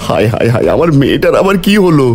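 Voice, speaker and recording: A greedy, scheming village fish seller from a cartoon, voiced by a man. He is excitable and exclaiming, with very lively pitch over faint music. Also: fast. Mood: panicked. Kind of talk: cartoon voice acting.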